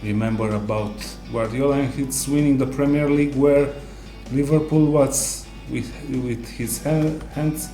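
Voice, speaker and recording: A man co-hosting a casual sports discussion, speaking haltingly with non-native English phrasing. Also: mid-range voice, fairly even intonation, warm tone. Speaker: co-host. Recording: roomy echo, music underneath.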